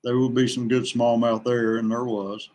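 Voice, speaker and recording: A man from West Virginia chatting casually as a podcast guest, plain-spoken and halting, in no hurry. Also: medium pitch, level tone, darkish tone. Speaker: podcast guest, veteran kayak angler. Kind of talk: casual chat.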